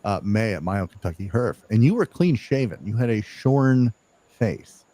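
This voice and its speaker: A man's voice: lowish voice, normal speed. A man hosting a live podcast over a video call, telling stories in casual chat and swearing freely. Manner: swears freely, excitable storytelling. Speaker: podcast host.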